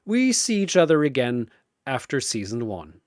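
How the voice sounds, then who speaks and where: A man's voice: medium pitch, wildly swooping pitch, moderate pace, dry recording, studio quality. A man voicing an amused, teasing, godlike cosmic arena master in a showy manner for a fantasy battle story.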